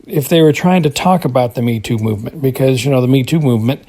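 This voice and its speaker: Man, mid-pitched, halting commentary. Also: warm tone. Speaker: podcast listener calling in feedback. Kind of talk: informal spoken commentary.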